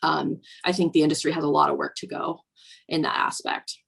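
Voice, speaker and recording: Casting: young woman, low voice with a gravelly edge, thoughtful, filler-heavy. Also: level tone, fairly quick, fairly bright tone. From Virginia, USA. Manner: reflective, with many fillers like "um" and "you know". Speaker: snowboarder interviewee. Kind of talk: documentary interview.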